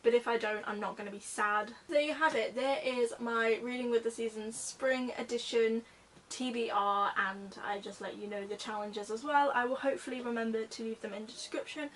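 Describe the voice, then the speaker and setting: High-voiced woman vlogger, slightly distant mic. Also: a little fast, a bit bright, slight background noise. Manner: chatty, rambling, self-correcting. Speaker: book vlogger, host. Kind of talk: casual chat to viewers.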